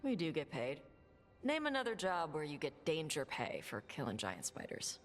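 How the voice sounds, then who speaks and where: A woman playing a blunt, laconic young dragon hunter and treasure seeker in a video game, talking gruffly and informally. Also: sits low, wildly swooping pitch, moderate pace. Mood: earnest, plain. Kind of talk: video game dialogue acting. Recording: music under the dialogue. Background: game music.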